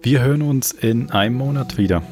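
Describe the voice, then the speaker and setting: A man's voice: medium pitch, a little fast, a little mellow. A man presenting a podcast and reading a story aloud in a conversational way. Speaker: podcast host. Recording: music underneath.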